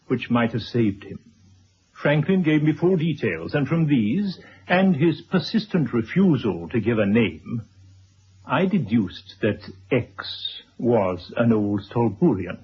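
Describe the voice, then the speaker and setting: A man acting a stern, unbending writer in a radio drama, speaking formally and deliberately with a husky voice. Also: mid-range voice, slight background noise. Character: stern, unbending male writer confronting an old acquaintance. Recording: dull, muffled old radio recording.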